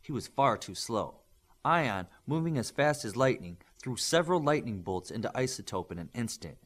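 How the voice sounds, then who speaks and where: A man's voice: mid-range voice, very animated, moderate pace, a bit bright. Male audiobook narrator for action adventure fiction, mid-range voice with very varied intonation. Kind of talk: scripted narration of an adventure story.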